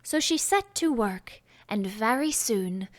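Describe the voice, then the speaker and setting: A woman reading a children's classic aloud as an audiobook, gentle and expressive, sometimes dropping to a whisper. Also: a little high, takes it slowly. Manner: gentle, expressive storytelling, drops to whispers at times. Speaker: audiobook narrator. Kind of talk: audiobook narration.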